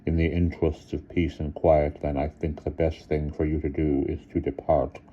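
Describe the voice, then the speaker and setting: A man voicing a grim, controlled male headmaster who speaks slowly and icily. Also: a bass voice, level tone, mellow, muffled recording, slight background noise. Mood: stern. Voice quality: slow, icy, deliberate delivery.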